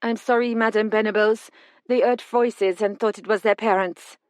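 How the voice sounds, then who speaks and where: A woman's voice: mid-range voice, steady tone, normal speed, no echo, pitched above the performer's own voice, close to an everyday voice. A woman voicing a petite young nanny with a French accent, polite and firm.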